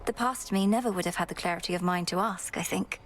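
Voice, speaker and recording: An actress playing a poised young goddess princess in a video game, formal and composed, earnest and guardedly fond. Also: female voice, on the low side, fast talker, natural voice. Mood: candid, tender. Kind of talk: scripted video game romance dialogue.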